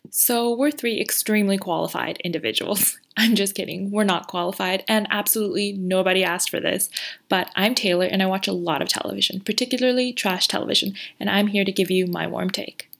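A woman's voice: mid-range voice, vocal fry, fairly bright tone. Chatty young woman recapping a show. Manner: chatty, laughs often, fast recapping. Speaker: co-host. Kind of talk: casual recap chat. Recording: roomy echo.

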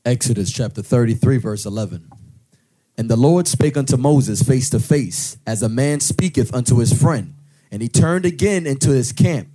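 A man reading scripture aloud at a service in a steady, plain way. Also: medium pitch, moderate pace, slightly rough, low-quality recording. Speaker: scripture reader.

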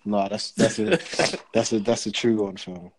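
A young man, hosting a podcast with slow, slangy, laughing banter. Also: on the low side, fairly even intonation, slight background noise. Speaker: podcast host. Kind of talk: casual chat.

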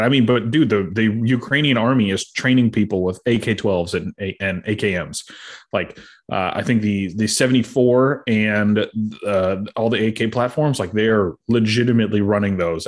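A man in his thirties co-hosting a casual podcast, talking loosely with lots of fillers and frequent laughter. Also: on the low side. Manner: rambling, laughs often, many fillers. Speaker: podcast co-host.

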